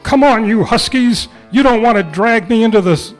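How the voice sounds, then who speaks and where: A man puts on an excitable little kid in a radio Western. The voice is pushed high and folksy, with some music behind it. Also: normal speed. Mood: gee-whiz excitement. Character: excitable folksy small child walking unruly dogs. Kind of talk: amateur old-time radio play acting. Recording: live club performance with music behind.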